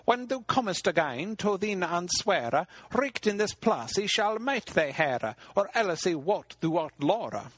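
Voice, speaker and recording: A man's voice: high voice, very animated, unhurried, hardly any pauses, fairly bright tone, breathy edge, no room sound, pitched above the performer's own voice, full character voice. A man voicing a loathly old hag who demands a knight for a husband, declaiming archaic verse. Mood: demanding.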